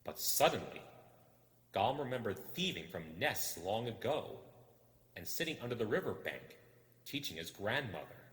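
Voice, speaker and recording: Male book narrator, expressive, bright forceful tone, very animated pitch, long echoing reverb. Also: moderate pace. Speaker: storyteller reading a book aloud.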